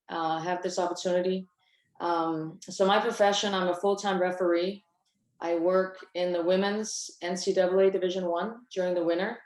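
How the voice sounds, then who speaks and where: A woman from Denmark, a basketball referee, presenting on a video call in a composed, steady way with soft pauses. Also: lowish voice, roomy sound, low-quality recording. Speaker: basketball referee, webinar speaker.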